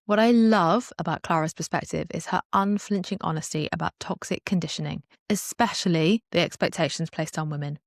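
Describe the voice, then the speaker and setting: A woman's voice: fairly low pitch, no echo, studio quality. A woman hosting a podcast, reading a polished, enthusiastic introduction and then welcoming her guest. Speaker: podcast host, leadership coach.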